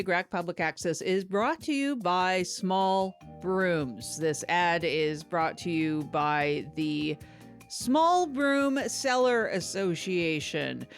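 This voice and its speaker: Woman playing a low-pitched, earnest public-access host. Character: Doc, supportive, earnest small-town public-access host. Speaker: podcast host. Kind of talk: improvised comedy podcast banter.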